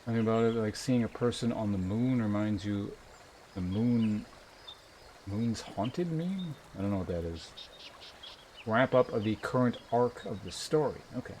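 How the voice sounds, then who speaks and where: A man's voice: on the low side, normal speed, darkish tone, a little hiss or hum. A man live streaming a game, chatting casually with viewers in a rambling, polite way as he thinks aloud. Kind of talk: casual chat while streaming a game, reading viewer messages. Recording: music behind the voice.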